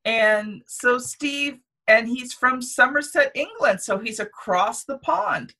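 Slow-spoken bright podcast host woman. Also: high voice, animated. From Canada. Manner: unhurried, enthusiastic introductions. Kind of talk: interview hosting.

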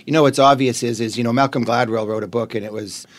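Male podcast-style interviewer, average pitch, conversational, wordy lead-ins with repeated phrases. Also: steady tone, fast talker. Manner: rambling, repeats words while building up to questions.

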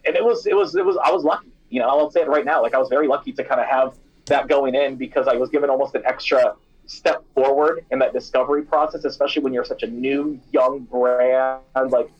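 Young male founder, casual and animated, mid pitch, a little muffled. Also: a little fast. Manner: chatty and animated, many fillers like "you know" and "like". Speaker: snack company founder, former radio DJ. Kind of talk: casual interview answers.

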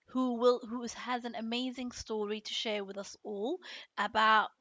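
A woman's voice: a little high, fairly even intonation, normal speed, sharp tone, dry recording, lo-fi sound. Enthusiastic woman podcast host, dry sound. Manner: enthusiastic, repeats phrases. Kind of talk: podcast interview, upbeat intro.

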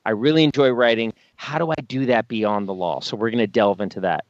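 A man's voice: on the low side, brisk, no echo. A man hosting an interview, introducing his guest and asking questions in an upbeat, encouraging way. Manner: upbeat, encouraging, lots of affirmations. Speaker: interview host. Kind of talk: hosted interview. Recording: dry, slightly muffled audio.

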